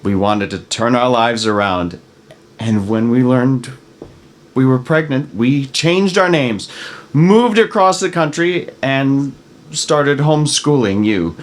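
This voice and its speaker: Man acting a halting, slow-spoken guilty father. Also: medium pitch, animated. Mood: hesitant, confessing. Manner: halting, pleading, remorseful. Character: guilt-ridden, evasive father hiding a terrible secret. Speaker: actor in a screenplay table read. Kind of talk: dramatic script reading.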